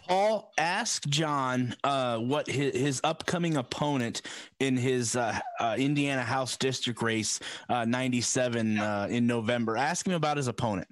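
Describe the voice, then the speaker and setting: A man hosting an informal call-in show, giving halting, step-by-step instructions. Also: mid-range voice, no room sound. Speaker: talk show host coaching a caller.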